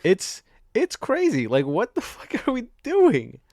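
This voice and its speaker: A man's voice: high-pitched, wildly swooping pitch, unhurried, a little mellow, no room sound, clean studio sound. A young man co-hosting a podcast, chatting casually about a film in a joking, teasing, sweary way. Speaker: podcast co-host. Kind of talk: casual movie review chat.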